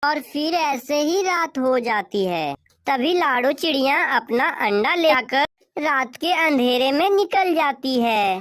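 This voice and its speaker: A woman's voice: high voice, dry recording, studio quality. A woman narrating a scripted children's story as its storyteller.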